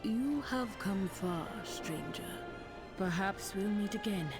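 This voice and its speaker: A woman voicing a solemn woman of a forest-dwelling fantasy people in a video game, speaking in a grave, measured, ceremonial way. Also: mid-range voice, animated, over music. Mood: solemn farewell.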